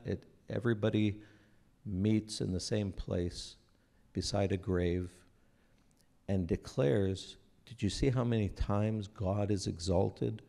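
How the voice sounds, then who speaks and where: A man in his forties, raised in Israel, giving an earnest, unhurried talk into a stand microphone on a church stage, often restarting his phrases. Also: fairly low pitch, gravelly, dark tone. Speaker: speaker at a church breakfast. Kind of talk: sermon-like talk to an audience.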